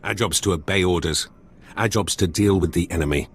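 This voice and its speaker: A man playing a gruff, hardened ex-field agent turned fixer in a sci-fi game, blunt and terse. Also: low-pitched, a little fast, slight background noise. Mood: gruff, stern. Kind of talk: scripted video game dialogue.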